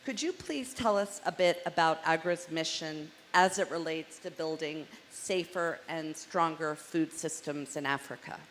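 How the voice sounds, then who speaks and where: A woman moderating a conference panel, introducing guests from a script and adding chatty jokes in a loud, carrying voice. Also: low voice, a little slow, few pauses. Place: large conference hall. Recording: hall reverb, faint room noise.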